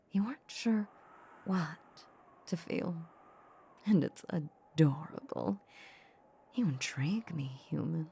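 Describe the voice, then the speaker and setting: Female vampire played by a woman, possessive and teasing; unhurried, dark breathy tone, intimate audio drama. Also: sits low, slight background noise, full character voice. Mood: amused, smug. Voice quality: breathy, often half-whispered. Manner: slow, teasing, condescending purr. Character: seductive, possessive female vampire, teasing her human captive. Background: soft ambient sound effects.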